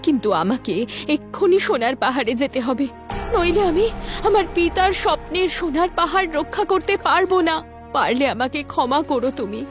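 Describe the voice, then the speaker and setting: A woman's voice: high-pitched, lively intonation, moderate pace. A woman voicing a sorrowful, dutiful fairy-tale queen in a cartoon, plaintive and theatrical. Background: music.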